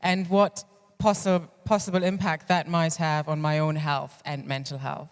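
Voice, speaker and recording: A German-born woman in her thirties, a clinical psychologist, speaks at a conference in a smooth, resonant voice. Her delivery is candid and reflective, with frequent restarts, and long reverb from a large hall trails after her words. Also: deep voice, little pitch movement, normal speed. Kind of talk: personal conference talk.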